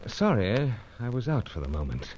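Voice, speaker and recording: An actor playing a gentlemanly, dryly understated British secret agent, with clipped old-fashioned speech, in a radio adventure serial. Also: male voice, mid-range voice, huge pitch swings, normal speed, muffled recording. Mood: evasive, hesitant.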